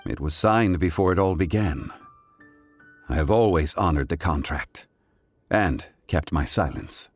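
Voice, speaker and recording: An ancient god of a fantasy game, with a very low voice and music behind him. He speaks formally and gravely, with weary wisdom and measured reserve. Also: lively intonation, heavily acted. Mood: regretful, firm. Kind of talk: dramatic video game dialogue.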